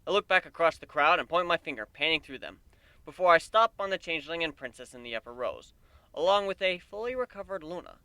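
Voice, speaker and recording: A man reading a story aloud in the first person as an amateur dramatic narrator, expressive and wry. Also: a little high, quick pace, smooth, no room sound.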